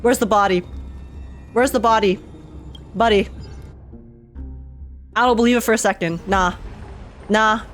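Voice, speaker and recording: A woman streamer reacts to a game in casual, slangy talk. She is excitable and repeats phrases for emphasis, in a medium-pitched voice with faint music behind. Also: a little slow, long pauses. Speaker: gaming streamer.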